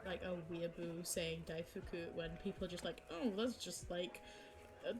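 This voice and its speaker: A young woman streamer chatting casually with viewers, giggling and teasing herself, her voice a little low for a woman and her throat sore. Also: moderate pace. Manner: chatty, giggly, self-mocking. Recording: music playing behind the voice.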